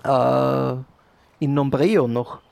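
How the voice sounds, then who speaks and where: A man improvising the part of a modest shopkeeper and former sailor, speaking haltingly and repeating words as he searches for them. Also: mid-range voice, fairly even intonation, vocal fry, a little mellow, no room sound. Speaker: player in an improvised pirate audio adventure. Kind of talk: improvised in-character role-play dialogue.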